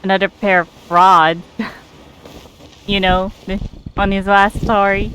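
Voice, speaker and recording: A woman doing casual let's play commentary as a game streamer, in a halting, giggly way. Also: medium pitch, level tone, fairly bright tone, slight background noise, poor audio.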